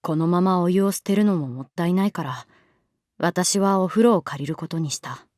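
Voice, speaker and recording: A woman's voice: on the low side, moderate pace, darkish tone, no room sound, studio quality, lightly acted. An actress playing a sulky, guarded teenage schoolgirl in a Japanese voice drama, curt and defensive with a shy side. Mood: quiet narration. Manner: curt, defensive, hesitant replies.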